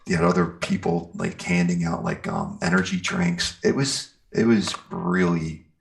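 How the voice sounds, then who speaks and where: A man's voice: deep voice, unhurried, vocal fry. A man chatting casually and enthusiastically about life in a band, in an informal, rambling way. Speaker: guest, metal band singer and guitarist. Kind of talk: casual chat, telling band anecdotes.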